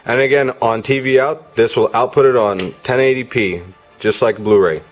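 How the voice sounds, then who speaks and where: A man's voice: mid-range voice, fairly even intonation, normal speed. A man giving a casual hands-on gadget demo in an informal, off-the-cuff way. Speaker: product reviewer. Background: music from demo videos on a player.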